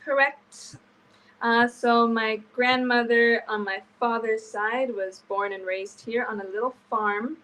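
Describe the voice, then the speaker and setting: A woman's voice: on the high side, steady tone, slow, fairly bright tone, low-quality recording. A young woman with a Canadian accent, an exchange student chatting casually on a live video call. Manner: chatty, laughs easily, hesitant fillers.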